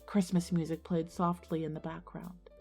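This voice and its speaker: A woman acting as an earnest schoolgirl visionary, narrating her own ghost story in a measured way for an audio drama. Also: lowish voice, flat delivery, creaky, warm tone, faint music behind. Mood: wistful, nostalgic. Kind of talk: scripted first-person dramatic narration.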